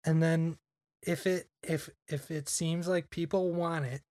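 A man's voice: on the high side, steady tone, measured pace, darkish tone, no room sound. A young man chatting casually on a podcast, rambling with lots of "like" fillers. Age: young adult. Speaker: podcast guest. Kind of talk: casual chat.

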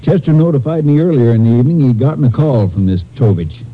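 An actor playing a matter-of-fact county sheriff in an old radio drama, plain and folksy. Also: male voice, medium pitch, expressive, fast talker, close to an everyday voice. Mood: businesslike. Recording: muffled narrow-band old radio recording.

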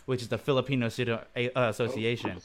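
A man's voice: medium pitch, flat delivery, fast talker. Young man, host, chatty, laughs a lot, casual talk. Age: young adult. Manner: laughs often, upbeat and chatty. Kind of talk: casual podcast chat reading comments.

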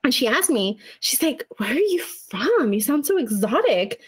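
A young woman from Chihuahua, Mexico, co-hosting a casual podcast and telling animated stories with laughs and swearing. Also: high-pitched, normal speed, husky. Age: young adult. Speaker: podcast co-host. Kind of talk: casual chat.

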